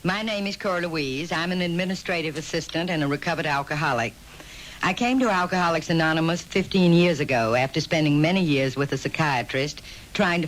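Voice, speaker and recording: A woman's voice: sits low, slight background noise, low-quality recording. An American woman delivering a scripted first-person testimonial for a public service radio spot.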